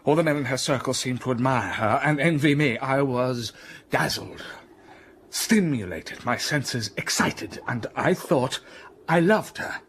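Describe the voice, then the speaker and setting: Brooding gentleman telling his past in a radio play, slow and heavy, bit of hiss behind. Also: medium pitch, expressive. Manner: slow, brooding storytelling with sudden rises of passion. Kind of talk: radio drama acting.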